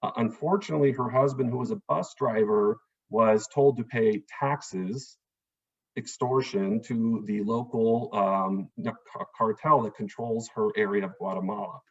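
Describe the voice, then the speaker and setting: A man with a somewhat low, husky voice walks listeners through the facts in an earnest town hall talk, pausing often on "um" and "uh." His audio comes through muffled and lo-fi, as on a video call. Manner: earnest, explanatory, with fillers. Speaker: immigration attorney.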